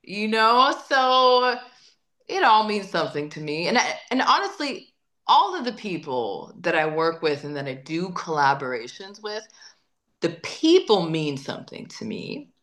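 A woman's voice: on the low side, very animated, unhurried, fairly bright tone. A woman singer-songwriter being interviewed, talking in a chatty, emphatic way and often repeating words while she thinks. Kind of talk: casual interview conversation.

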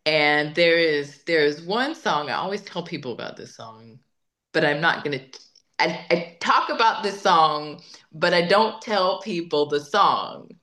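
A woman's voice: low-pitched, animated, a little slow, bright, forceful tone. A woman singer-songwriter being interviewed, talking in a chatty, emphatic way and often repeating words while she thinks. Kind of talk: casual interview conversation.

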